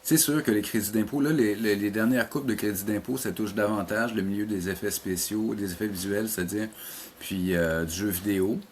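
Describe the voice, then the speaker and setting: Middle-aged male teacher, Quebec French accent, lower voice, conversational interview guest who explains in halting, repeated starts. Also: fairly even intonation, a little hiss or hum. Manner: hesitant, repeats words while explaining. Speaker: college animation teacher and programme coordinator, interview guest.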